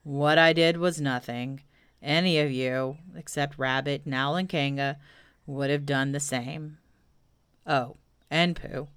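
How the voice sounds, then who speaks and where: A woman's voice: low-pitched, steady tone, unhurried, a bit bright, clear, resonant tone, dry recording, voice pushed down. A woman voicing a gloomy, self-important male donkey in a children's storybook, grumbling through ponderous speeches. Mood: pompous.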